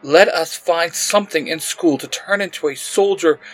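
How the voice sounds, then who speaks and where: A man's voice: on the high side, normal speed, fairly bright tone, soft background music, voice pushed up, rough recording. Stignet, a man doing a hissing villain lord. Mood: scheming. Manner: hissing, scheming, commanding. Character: hissing evil male cyber-realm lord.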